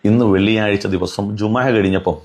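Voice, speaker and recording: A man's voice: lowish voice, steady tone, fairly quick, a little mellow. A man giving an admonishing religious commentary, earnest and pleading, driving points home with repetition and rhetorical questions.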